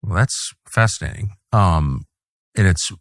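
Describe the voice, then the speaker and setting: A man from California interviewing a guest, asking probing, deliberate questions. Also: deep voice, expressive, dry recording, clean studio sound. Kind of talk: interview conversation.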